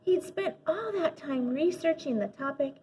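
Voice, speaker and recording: A woman reading a children's story aloud like a teacher, animated, with dramatic pauses and emphasis. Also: sits high, a little hiss or hum. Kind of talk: expressive children's audiobook-style read-aloud.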